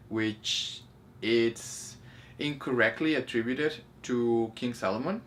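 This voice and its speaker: Guy w lowish voice chatting and explaining movie stuff, kinda hesitant, some background noise. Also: fairly bright tone. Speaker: co-host of a spirituality review show. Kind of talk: casual movie discussion with explanatory asides.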